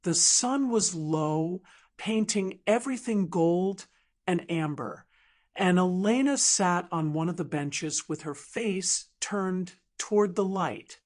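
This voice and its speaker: A woman reading a dramatic story in a measured, deliberate audiobook narration style. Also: fairly low pitch, expressive, a little mellow. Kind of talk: scripted dramatic fiction narration.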